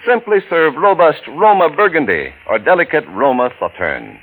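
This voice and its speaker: A man's voice: medium pitch, a bit bright, slight background noise, poor audio. Wide-swinging vintage radio commercial announcer, a man. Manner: emphatic, polished salesmanship. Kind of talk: 1940s radio advertisement read. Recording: vintage radio recording, faint music.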